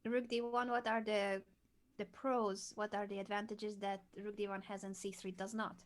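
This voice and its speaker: Female chess coach, patient, leads with questions, mid-pitch voice. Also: little pitch movement, moderate pace. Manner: patient, asks guiding questions.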